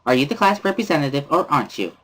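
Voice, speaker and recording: A high, loud teenage schoolgirl voice, acted by a man, bouncy and cheerful even while scolding. The delivery is emphatic and bossy, with wide swings in pitch, in an amateur visual-novel dramatic reading. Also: racing. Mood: indignant.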